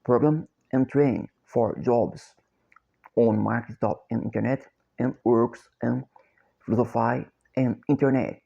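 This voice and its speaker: A middle-aged Brazilian man with a Portuguese accent, speaking English slowly and haltingly in a repetitive, chant-like monologue. He repeats short phrases over and over like a mantra. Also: mid-range voice, fairly even intonation, vocal fry, mellow, husky. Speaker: self-described copywriter and philosopher. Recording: dull lo-fi recording.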